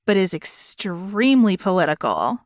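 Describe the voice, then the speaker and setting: A woman's voice: medium pitch, very animated, normal speed, dry recording, clean studio sound. A woman co-hosting a podcast, discussing a book casually in a thoughtful, articulate way. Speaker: podcast co-host.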